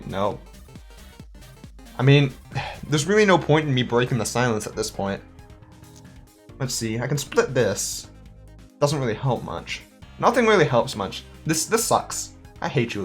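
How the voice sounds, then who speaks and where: A man hosting a gaming channel, chatting casually and thinking aloud as he works out his moves and counts up his dice. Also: mid-range voice. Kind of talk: let's play commentary.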